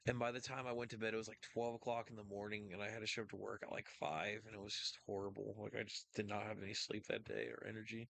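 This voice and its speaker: Gravelly, laid-back male co-host. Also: on the low side, flat delivery, quick pace, few pauses, fairly bright tone. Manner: laid-back, slangy, says bro and man. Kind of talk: casual live-stream chat.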